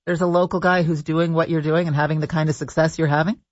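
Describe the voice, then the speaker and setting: A man's voice: fairly high pitch, level tone, fast talker, no room sound, lo-fi sound. A man hosting a radio interview, asking friendly leading questions. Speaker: radio host.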